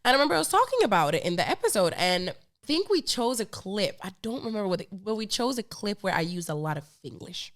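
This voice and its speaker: A woman's voice: medium pitch, very animated, quick pace. A young woman who speaks English natively, chatting casually and candidly as a podcast guest in a bubbly way, peppering her talk with "like". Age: young adult. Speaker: podcast host appearing as interview guest. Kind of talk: casual chat.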